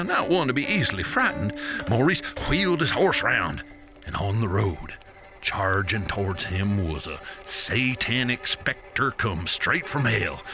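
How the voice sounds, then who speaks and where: A frontier yarn-spinner in a radio drama, with music behind him. He tells ghost tales in a folksy, theatrical way, his pitch swinging widely and his words doubling up for suspense. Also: heavily acted. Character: American frontier yarn-spinner telling tall ghost stories.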